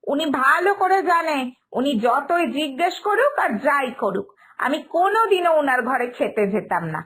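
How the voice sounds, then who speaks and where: A woman's voice: high-pitched, big pitch swings, normal speed, fairly bright tone. A woman voicing a fussy, scornful middle-aged mother-in-law in a cartoon drama, nagging emphatically with a West Bengal accent.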